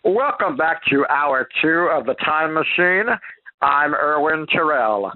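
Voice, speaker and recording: A man, middle-aged or older, hosting a radio show casually in a halting, rambling way. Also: fairly high pitch, lively intonation, dry recording. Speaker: radio program host. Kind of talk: casual informal presenting of old-time radio. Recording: dry, tinny phone-like radio sound.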